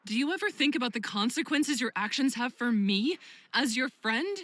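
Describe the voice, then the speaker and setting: A woman playing a caring but firm friend in a visual novel, questioning in a measured, probing way. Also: on the high side, animated, a bit bright, no echo. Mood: reproachful.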